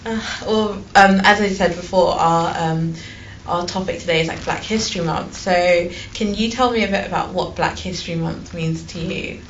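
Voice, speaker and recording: A woman's voice: on the low side, normal speed, muffled recording, slight background noise. A woman interviewing a student, asking questions with hesitant ums; English is not her first language.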